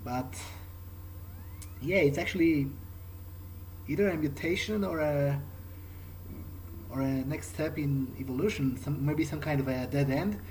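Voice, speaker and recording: A man improvising in character as he plays a video game, conversational, halting and thinking aloud. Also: a little high, a little mellow, a little hiss or hum, poor audio. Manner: hesitant, musing, halting. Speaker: gamer playing in character.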